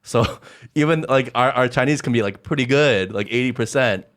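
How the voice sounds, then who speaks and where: A man's voice: medium pitch, normal speed, fairly bright tone. A man from Hong Kong hosting a podcast, talking in a rambling casual way with many "like"s and frequent laughs. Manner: rambling, lots of "like", laughs often. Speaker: podcast host. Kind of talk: casual conversation.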